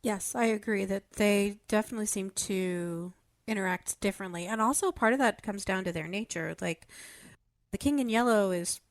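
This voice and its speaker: A woman with a mid-pitched voice, recorded dry and close, in a casual analytical podcast discussion. She is thoughtful, qualifying her ideas and building them step by step. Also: gravelly, no room sound. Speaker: podcast panelist discussing a fiction show.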